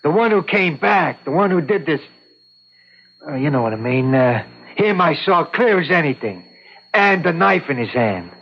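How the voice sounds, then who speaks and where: A man's voice: fairly high pitch, sing-song, normal speed. A man acting a talkative, stubborn eyewitness in his sixties, hesitant and folksy, in an old-time radio courtroom drama. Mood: earnest, halting. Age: 60s. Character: talkative sixty-seven-year-old male eyewitness, stubborn and plainspoken. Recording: dull lo-fi vintage radio recording.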